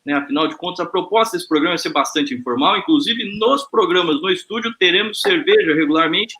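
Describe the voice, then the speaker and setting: A man hosting an informal web panel discussion over a video call, relaxed and lightly joking, with frequent hesitant fillers. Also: high-pitched, fast talker. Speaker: host.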